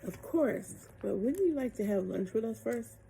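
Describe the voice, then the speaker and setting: A woman's voice: a little high, lively intonation, normal speed, vocal fry, dark tone, a little hiss or hum, voice pushed up, low-quality recording. Woman reading as a friendly, helpful young boy. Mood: inviting.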